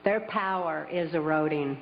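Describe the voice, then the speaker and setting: A woman delivers an emphatic public speech in a deliberate manner, her slightly low voice trailing into a long hall reverb. The recording sounds dull, and faint music sits underneath. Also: very noisy. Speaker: speaker giving testimony.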